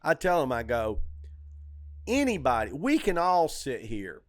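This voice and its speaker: A middle-aged man chatting casually as a podcast host, telling stories in an animated, opinionated way and swearing freely. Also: mid-range voice, a little slow, dry recording, studio quality. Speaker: comedy club owner and comedian, podcast host. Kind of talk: casual shop-talk chat.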